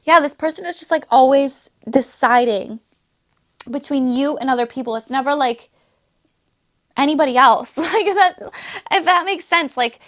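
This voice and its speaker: A woman's voice: sits high, normal speed. A woman giving a casual, chatty tarot reading, losing her voice a little, with frequent fillers and laughs. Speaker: tarot reader.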